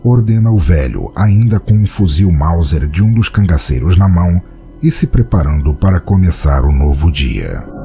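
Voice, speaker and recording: A man's voice: low-pitched, animated, moderate pace, mellow, soft background music. A man with a Brazilian accent narrating a dramatic scripted audio story.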